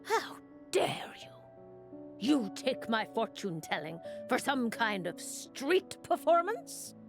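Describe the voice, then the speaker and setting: A proud, theatrical video game witch, imperious and grandiose. The words come very slowly with dramatic swings in pitch, over clear background music. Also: very animated, exaggerated voice. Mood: indignant. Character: haughty, theatrical seer witch, proud of her wisdom.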